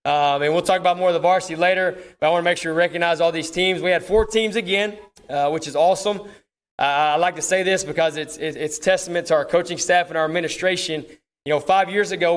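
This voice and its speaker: A man's voice: fairly high pitch, fairly even intonation, fast. A man with a Texan accent giving an informal, folksy awards ceremony speech as a football coach, often repeating his words. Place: speaking to an audience at a school awards ceremony.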